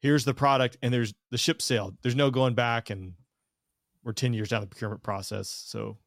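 Male host, mid-pitched voice, dry close sound, loose rambling delivery. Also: fairly even intonation, moderate pace, gravelly, no echo. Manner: rambling, lots of fillers and self-corrections. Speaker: podcast host. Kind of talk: casual podcast chat.

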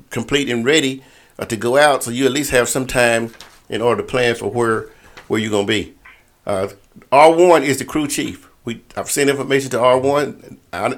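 Man, medium pitch, conference call leader, informal briefing style, repetitive phrasing. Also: fairly bright tone. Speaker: officials' assigner leading a conference call. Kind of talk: informal briefing to volleyball referees.

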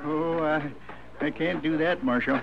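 An actor playing a hesitant, henpecked American circus husband, apologetic and rustic, in a 1950s radio western. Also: male voice, fairly high pitch, fairly even intonation, measured pace, creaky. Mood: nervous, sheepish. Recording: dull radio recording, crowd behind.

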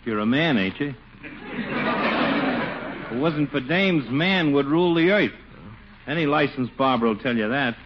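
An actor playing a cocky, slangy, self-important barber in 1940s radio comedy. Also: male voice, mid-range voice, very animated, measured pace, pauses often, a loud crowd. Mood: chummy. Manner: slangy, ungrammatical, chummy. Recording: muffled old radio broadcast with hiss.

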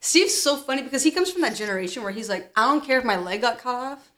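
A woman's voice: fairly high pitch, lively intonation, fast, sharp tone. Foul-mouthed young American woman telling stories. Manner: foul-mouthed, blunt, jokey. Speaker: podcast co-host. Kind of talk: casual chat, animated storytelling.

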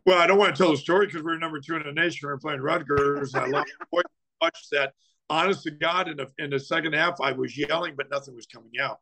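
A male football coach with a raspy voice, chatting as a podcast guest, chuckling often and halting with many fillers. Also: on the high side, bright, forceful tone, rough recording. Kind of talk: phone-in sports podcast chat.

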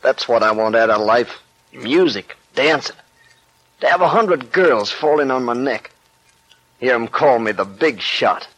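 A man's voice: mid-range voice, expressive, a little slow, a bit bright, poor audio. A man playing a boastful young gunman hungry for fame in a 1940s radio drama, on a vintage recording. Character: cocky young male gunman craving fame.

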